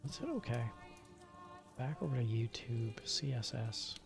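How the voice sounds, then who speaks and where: Creaky-voiced man muttering on a livestream. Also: mid-range voice, expressive, unhurried. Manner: muttering to himself, often half-whispered. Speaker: streamer. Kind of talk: casual livestream chat while troubleshooting settings. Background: music.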